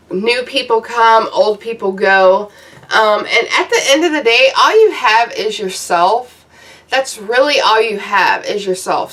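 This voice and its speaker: A woman's voice: on the high side, fairly bright tone, far from the mic. A woman in her thirties giving casual, blunt, profane advice to her viewers in a loose, rambling vlog rant. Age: 30s. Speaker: YouTuber.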